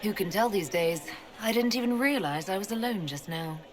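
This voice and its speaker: An actress playing a composed, dryly amused woman commander in a video game, speaking in a measured, understated way. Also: mid-range voice, lively intonation, natural voice. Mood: wry. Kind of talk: video game character acting.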